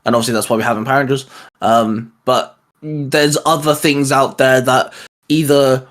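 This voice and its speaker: A man's voice: medium pitch, steady tone, moderate pace, a bit bright. A man hosting a YouTube show, chatting casually about geeky favourites. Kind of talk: casual chat about cartoons.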